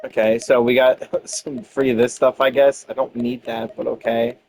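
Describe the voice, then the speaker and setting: A man's voice: mid-range voice, flat delivery, moderate pace, a little mellow, a little hiss or hum. A man giving casual gameplay commentary, rambling and thinking aloud as he goes. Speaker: gamer commenting on own playthrough.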